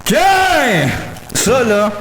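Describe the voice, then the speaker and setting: Male podcast co-host, Quebec French accent, rowdy and swearing, very animated, reverberant space. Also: very high voice, drawn-out words, heavy background noise. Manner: excitable, swearing. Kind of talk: rowdy comic banter. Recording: echoey roomy sound under loud music.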